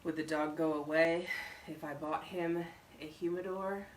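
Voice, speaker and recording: A woman voicing an aloof male stranger in a storybook, speaking evenly and crisply with a dry deadpan. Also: sits low, unhurried, slight background noise. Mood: curt, dry. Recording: roomy echo, distant microphone.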